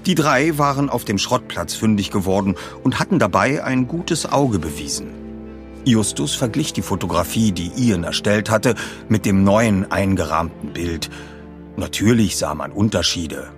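A middle-aged man, narrating a scripted story dramatically. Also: lowish voice. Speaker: host and audiobook narrator.

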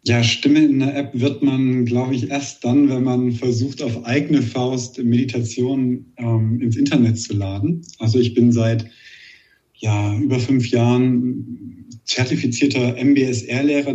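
A man with a Saxon German accent and a slight lisp, a meditation teacher answering interview questions calmly and thoughtfully. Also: medium pitch, distant mic, lo-fi sound. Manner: calm, measured, searching for words.

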